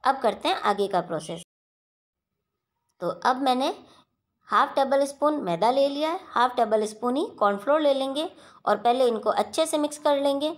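A woman hosting a home cooking channel, talking viewers through a recipe step by step in a steady instructional voice-over. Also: sits high, normal speed.

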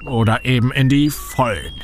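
A shady old reporter, voiced by a man, who talks in a theatrical, ominous, overdone manner. His voice sits at a middle pitch. Faint music plays under the radio play. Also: normal speed, lightly acted. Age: older. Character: shady older male reporter, creepy and theatrical.

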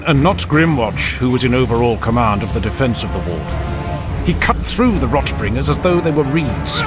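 A man narrating a dramatic fantasy audiobook in a grave, deliberate manner. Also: mid-range voice.

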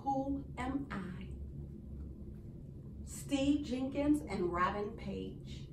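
A young woman reading a picture book aloud to young children in an animated, sing-song way with deliberate phrasing. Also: on the high side. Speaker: children's storyteller. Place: small indoor room. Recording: roomy, distant mic, faint noise.

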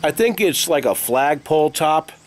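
A man's voice: on the high side, expressive, normal speed, no echo. An American man in his forties talking casually to camera in a conversational, matter-of-fact way, like a vlogger. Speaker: reseller vlogger showing garage sale finds. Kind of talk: casual vlog talk to camera. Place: open garage doorway, outdoors. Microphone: camera at arm's length.

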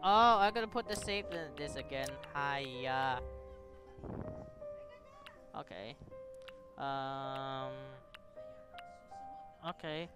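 A man casually commenting on a game playthrough and reading aloud in a halting, stumbling way. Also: medium pitch, over music. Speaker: gaming streamer reading along.

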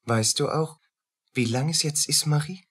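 Brooding soldier, thirties, husky, slow, halting, fatalistic, radio play. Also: male voice, fairly high pitch, fairly bright tone. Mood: grim, urgent. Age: 30s. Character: haunted, brooding thirty-year-old soldier, poor and fatalistic.

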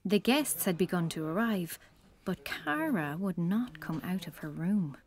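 A woman's voice: lowish voice, expressive, measured pace. A woman narrating a scripted children's story in a storytelling style. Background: music.

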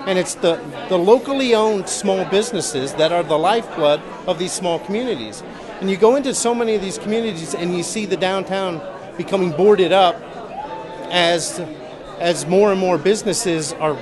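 A man in his forties from Missouri, a political candidate, answering interview questions earnestly with many restarts and uhs in a large convention hall. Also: high-pitched. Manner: earnest, halting with repeated words and uhs. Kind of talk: on-the-spot interview. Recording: busy crowd noise behind. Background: crowd chatter.

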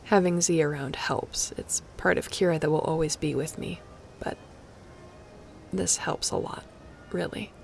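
A woman's voice: fairly low pitch, creaky, darkish tone. A woman playing a snarky, affectionate but guarded space captain in an intimate sci-fi audio roleplay, talking in a casual, teasing way. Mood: tender, subdued.

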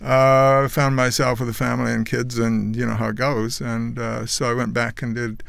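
A man's voice: medium pitch, flat delivery, normal speed, creaky, a bit bright, dry recording. A man in his sixties, raised in Canada, telling his life story in a casual interview, rambling with restarts and wry asides, on a studio mic. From Netherlands, raised in Canada. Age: 60s. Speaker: guest actor and painter. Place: small studio with purple curtain. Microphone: boom studio mic.

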